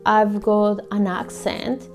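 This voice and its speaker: A woman's voice: mid-range voice, little pitch movement, soft background music. A young woman from Colombia, speaking English with a Colombian Spanish accent, in an earnest, heartfelt personal monologue. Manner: earnest and heartfelt, soft and measured. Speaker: vlogger.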